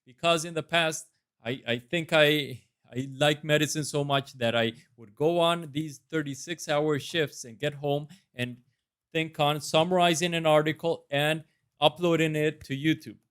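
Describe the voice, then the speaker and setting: A man's voice: fairly high pitch, fairly even intonation, unhurried, fairly bright tone, smooth. Earnest Costa Rican-accented man. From Costa Rica. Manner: earnest, self-assured. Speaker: residency applicant. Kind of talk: mock interview answers to camera.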